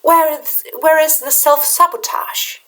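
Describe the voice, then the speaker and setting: Middle-aged Danish woman, slightly high voice, soft hesitant English, small room, webcam. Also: steady tone. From Denmark. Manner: soft, halting, repeats words while searching for them. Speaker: spiritual channeler. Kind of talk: casual spiritual vlog talk. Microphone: webcam in front of her.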